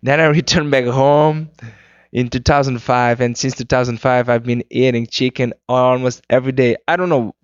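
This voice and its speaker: A man from Cape Verde, whose first language is Creole, answers interview questions in careful, halting English. His voice sits at an ordinary pitch. The recording is close and dry, with a somewhat dull, muffled tone. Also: level tone, no room sound. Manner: searching for words, frequent restarts. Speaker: singer-songwriter guest.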